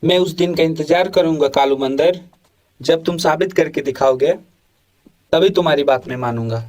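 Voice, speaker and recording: A man voicing a proud, boastful lion with a commanding manner in an animated moral story. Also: on the high side, moderate pace, close to an everyday voice. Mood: challenging. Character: proud boastful male lion, quick to anger.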